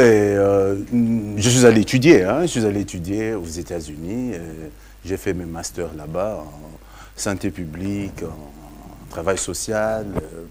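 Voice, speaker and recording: Deep-voiced Congolese man, halting interview guest. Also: noisy background. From Democratic Republic of the Congo. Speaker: interview guest, parliamentary candidate. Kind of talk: explanatory interview talk.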